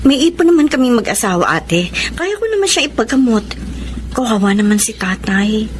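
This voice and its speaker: High-voiced, soft-hearted younger sister played by an actress. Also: female voice, expressive, normal speed, slight background noise, close to an everyday voice. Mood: concerned. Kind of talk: Filipino radio drama acting. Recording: music bed under the voice. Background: dramatic music.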